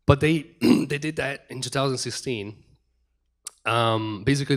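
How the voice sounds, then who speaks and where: Hurried man giving a conference talk. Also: medium pitch, clear, resonant tone. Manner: hurried, many restarts and repeated words. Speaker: conference speaker. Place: conference room.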